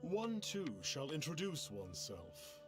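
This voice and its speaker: A man's voice: a little high, huge pitch swings, a little slow, music in the background. A man voicing a grandiose, self-styled immortal sage in a video game, speaking in pompous, archaic, lofty phrases. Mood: grand, self-important. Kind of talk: video game character voice acting.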